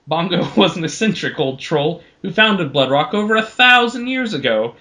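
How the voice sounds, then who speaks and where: A man narrates an amateur adventure story on a podcast. His voice is a little high and bright, and his delivery swings up and down with plenty of animation. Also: big pitch swings, moderate pace. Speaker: podcast host.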